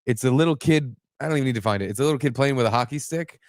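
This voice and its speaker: A man's voice: mid-range voice, rapid-fire, dry recording, clean studio sound. Man swearing through casual podcast stories. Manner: profane, animated storytelling with acted-out exclamations. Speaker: podcast host. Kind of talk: casual comedic chat.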